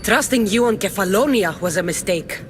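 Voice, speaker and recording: A woman's voice: mid-range voice, lively intonation, moderate pace, over music, close to an everyday voice. A woman playing a bold, wry mercenary in a video game, terse and dry in manner. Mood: accusing, demanding.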